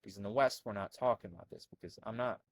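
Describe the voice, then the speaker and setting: A young man from Albania who grew up in America, talking over on-screen text in a rambling, animated way full of fillers and restarts. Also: on the low side, quick pace, creaky. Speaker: health coach. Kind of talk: casual commentary reading from a screen.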